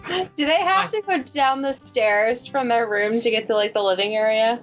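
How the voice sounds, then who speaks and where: A woman's voice: fairly high pitch, moderate pace, highs cut off, soft background music. A woman casually telling what her character does while playing a tabletop role-playing game.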